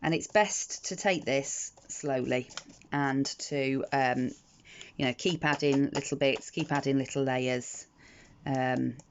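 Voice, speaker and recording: Croaky British woman, craft tutorial. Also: rumbling low, steady tone, takes it slowly. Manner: chatty, frequent ums and restarts. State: croaky from the morning. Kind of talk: casual step-by-step craft tutorial. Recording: slightly dull lo-fi audio with faint noise.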